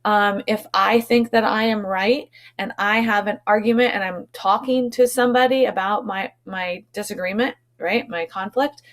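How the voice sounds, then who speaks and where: A woman's voice: mid-range voice, steady tone, moderate pace, hardly any pauses. A woman elementary school teacher explaining vocabulary to children in an instructive way, often checking in with short tag questions.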